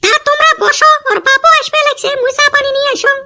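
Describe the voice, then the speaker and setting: A performer voicing an excitable, nagging village mother in broad cartoon comedy, loud and exclamatory, with a Rangpuri Bengali accent. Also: extremely high pitch, fast talker, full character voice. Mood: brisk, probing. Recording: noisy amateur cartoon voice track.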